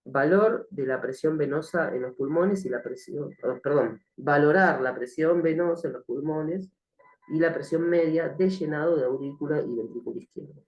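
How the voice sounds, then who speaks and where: A man teaching a recorded nursing class, slightly hoarse from the flu, speaking hesitantly with many fillers and frequent check-ins with the listener. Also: on the high side, darkish tone, dull audio. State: has a cough from the flu. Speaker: nursing instructor. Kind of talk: recorded online lecture.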